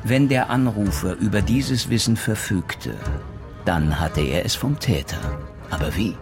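An actor playing a methodical retired police detective in a crime radio play, deliberate and self-assured, reasoning through rhetorical questions. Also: male voice, on the low side, over music. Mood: probing, methodical. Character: retired male police detective, methodical, probing, theatrically sure of himself.

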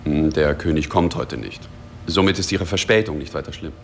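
An actor playing a polished, formal and polite Saudi official in a radio drama. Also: male voice, extremely low pitch, moderate pace, a little hiss or hum. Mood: courteous, formal.

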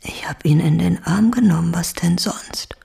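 An actress playing a defensive, grieving mother under interrogation in a radio drama, with a gravelly, fragile delivery. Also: lowish voice, moderate pace, warm tone. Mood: anguished. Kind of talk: German radio drama acting.

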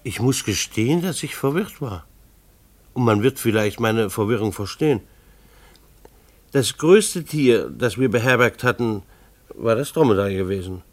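An actor playing a harried, ironic husband and father, narrating his family's chaos in a deliberate, formal radio drama style. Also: lowish voice, expressive, no echo. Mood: puzzled, formal.

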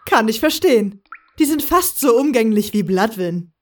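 A woman's voice: fairly high pitch, animated, moderate pace, far from the mic. A woman playing a teasing, confident, carefree young woman who sneaks about, in a fan audio drama. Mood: teasing, amused. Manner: breezy, teasing.

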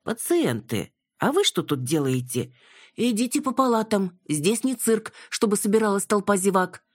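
A woman's voice: medium pitch, very animated, moderate pace, slightly rough, no room sound, studio quality, voice pushed up. A woman voicing an officious, gossipy, emphatic hospital nurse in an audiobook. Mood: scolding. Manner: scolding then lamenting, emphatic.

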